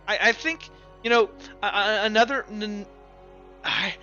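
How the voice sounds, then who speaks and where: A man with an excitable, stammering way of talking, casually narrating a tabletop game as game master. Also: high-pitched, unhurried, dull audio. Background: faint music.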